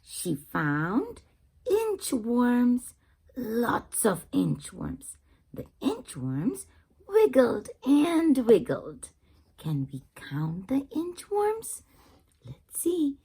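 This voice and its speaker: A woman's voice: a little high, wildly swooping pitch, extremely slow, dark tone, husky. A woman reading a picture book aloud to young children in a teacherly manner, putting questions to the listeners. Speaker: children's read-aloud channel host.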